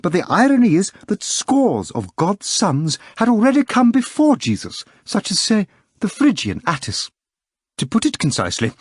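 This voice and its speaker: A man voicing a self-assured male editor who lectures at length, pitched well above his own voice. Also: a little mellow, husky, no echo, studio quality, full character voice.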